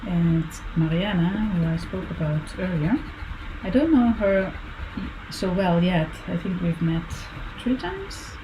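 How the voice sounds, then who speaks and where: A woman with a Dutch accent talks to her viewers as she works on a drawing. She speaks slowly in a slightly low voice, pausing and correcting herself as she thinks aloud. Steady background noise sits under her voice. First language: Limburgish. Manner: halting, musing aloud, with self-corrections and soft laughs. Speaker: artist. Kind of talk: casual talk while drawing.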